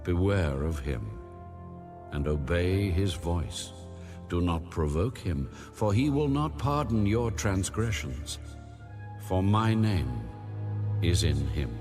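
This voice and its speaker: A man narrating scripture in a solemn, measured, authoritative way, like an audio Bible. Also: low voice, lively intonation. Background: background music.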